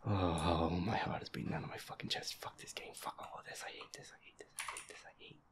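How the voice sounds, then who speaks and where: Guy acting as feisty werewolf bf scared of a horror game, low whispery muttering, swears a lot. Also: fairly even intonation, fairly quick, close to an everyday voice. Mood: scared, tense. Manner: sweary, jittery, muttering complaints under the breath. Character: feisty werewolf boyfriend, scared and grumbling while gaming. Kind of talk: boyfriend audio roleplay, improvised horror game reactions.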